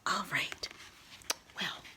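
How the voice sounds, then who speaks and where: A woman with a breathy, husky voice, often near a whisper, reading aloud in a hushed, intimate, unhurried and expressive storytime style. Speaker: storyteller reading a holiday poem.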